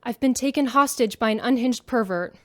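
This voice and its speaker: A woman acts a mischievous girl in a space radio play. Her voice is high and melodramatic, full of put-on distress, recorded dry and close. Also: fairly quick, fairly bright tone, no room sound, lightly acted. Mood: mock distress. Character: mischievous young female troublemaker faking distress. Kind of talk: sci-fi radio drama acting.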